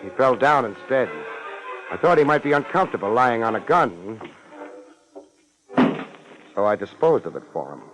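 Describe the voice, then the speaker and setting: An actor playing a tough, weary private detective in a 1950s radio drama, American accent, terse wisecracking delivery. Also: male voice, medium pitch, very animated, normal speed, long pauses, over loud music, lightly acted. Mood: wry narration. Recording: noisy 1950s radio recording.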